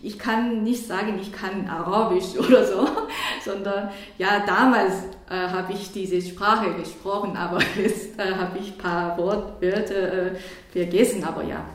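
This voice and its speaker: A woman's voice: medium pitch, steady tone, a little slow, heavy reverb. A woman from South Korea with a Korean accent, a church worker answering interview questions in a hesitant way, with many fillers and restarts.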